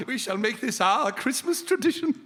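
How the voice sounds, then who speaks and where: A man's voice: extremely high pitch, lively intonation, normal speed, sharp tone, full character voice. A man playing a reformed old miser in live radio theatre, stammering, exclaiming and full of joy and gratitude. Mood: jolly. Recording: reverberant hall, live stage performance.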